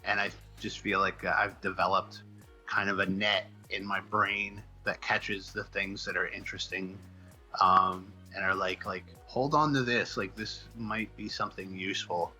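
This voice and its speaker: A man answering interview questions in a casual, halting, self-deprecating way, thinking out loud as he rambles along. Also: low-pitched, fairly even intonation, faint music behind, rough recording. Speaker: comic book writer being interviewed.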